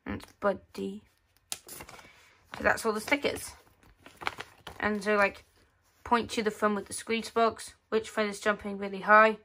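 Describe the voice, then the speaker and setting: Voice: mid-range voice, flat delivery, unhurried, pauses often, pushed and bright, slight background noise. A female speaker giving casual commentary on a magazine page by page, reading bits aloud, with hesitant, rephrased sentences. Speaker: host of a magazine flip-through video. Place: indoors at a tabletop.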